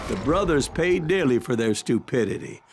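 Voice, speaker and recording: A man's voice: mid-range voice, lively intonation, normal speed, noisy background, close to an everyday voice. An actor playing a proud Western townsman in a game, recounting the past in a folksy, plainspoken American voice. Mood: earnest recounting. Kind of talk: scripted in-character narration. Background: music.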